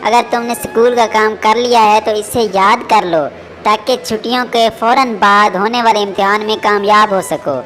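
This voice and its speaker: A woman's voice: mid-range voice, fairly even intonation, normal speed, fairly bright tone, natural voice. A woman playing a concerned mother who talks to her young son in a cartoon moral story. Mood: advising. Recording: music behind the voice.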